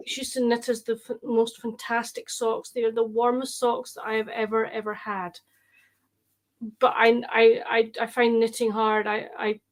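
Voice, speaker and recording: A woman chatting casually as a guest, in a halting way that repeats words as she goes. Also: fairly high pitch, low-quality recording. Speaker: guest on a book chat. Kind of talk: casual chat. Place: indoors on a group video call.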